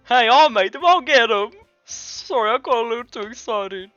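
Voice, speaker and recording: Man putting on a squealing high-pitched anime schoolgirl. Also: expressive, moderate pace, bright, forceful tone, no room sound, highs cut off, faint music behind, exaggerated voice. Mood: excited. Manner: gushing, exaggerated girlish squeal. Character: excitable, prickly anime schoolgirls, squealing and cute.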